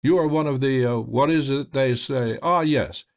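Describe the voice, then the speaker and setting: A man's voice: medium pitch, normal speed, natural voice. A man voicing a sly, flamboyant blind male stage magician with grand, theatrical exclamations.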